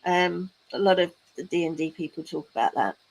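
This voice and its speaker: A woman's voice: lowish voice, expressive, a little fast, low-quality recording. A woman hosting a casual crafting livestream, chatting playfully and teasing her viewers as she works. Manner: playful, teasing asides to chat. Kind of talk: casual chat while crafting, reading out chat.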